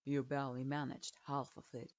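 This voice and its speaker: A woman's voice: extremely low pitch, little pitch movement, moderate pace, darkish tone, muffled recording, pitched below the performer's own voice. A woman voicing a stern adult male ninja instructor who speaks in curt, cold commands.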